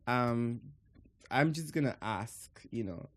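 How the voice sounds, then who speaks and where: A man's voice: medium pitch, expressive, takes it slowly, no room sound. A man with a Nigerian accent, co-hosting a podcast in casual chat, explaining his thoughts at length. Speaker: podcast co-host.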